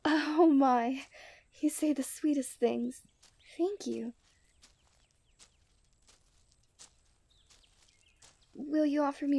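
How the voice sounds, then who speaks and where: Woman acting a mellow, genteel fairytale princess. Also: sits high, no echo. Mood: courtly, delighted. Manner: formal, genteel fairytale phrasing with breathy sighs. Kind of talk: scripted romantic ASMR roleplay.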